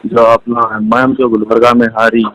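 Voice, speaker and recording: A man's voice: mid-range voice, flat delivery, fairly quick, a bit bright, dry recording. A man calling as an interviewer on a recorded phone line, informal and pressing in his questions. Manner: pressing, challenging.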